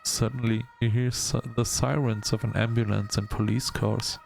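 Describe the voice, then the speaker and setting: A man hosting a gaming video, reading story text aloud in a halting, measured storytelling delivery. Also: on the low side, flat delivery, vocal fry, a little mellow, a little hiss or hum. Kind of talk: let's-play narration, reading game text aloud.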